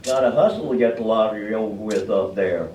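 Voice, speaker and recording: A man's voice: on the low side, a little fast, close to an everyday voice. Man acting a folksy farmer, distant and echoey. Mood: neighborly. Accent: American. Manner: folksy, laconic. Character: folksy small-town farmer and family man. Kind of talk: readers theater stage acting. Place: live play in a library. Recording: echoey, dull, distant, noisy room recording.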